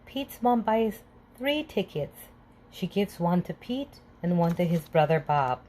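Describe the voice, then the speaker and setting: Woman storyteller, very unhurried, soft hiss faintly behind. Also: on the low side, sing-song. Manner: slow, clear, gentle read-aloud delivery. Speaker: children's book reader. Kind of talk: read-aloud storytelling.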